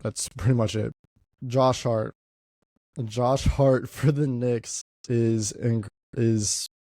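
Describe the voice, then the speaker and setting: A man's voice: medium pitch, dark tone, dry recording, clean studio sound. A young man hosting a podcast, talking casually about basketball, congested and stuffy, often repeating words as he goes. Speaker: podcast host.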